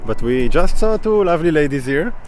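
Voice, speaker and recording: A man's voice: fairly high pitch, big pitch swings, a little fast, a little mellow, a little hiss or hum. A man with an Israeli accent, conversational and inquisitive, hosting and narrating a travel vlog. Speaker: host.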